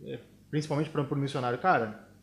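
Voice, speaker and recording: A man's voice: medium pitch, fairly even intonation, quick pace, gravelly. A man, co-hosting a talk show and giving advice in a conversational manner. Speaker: co-host.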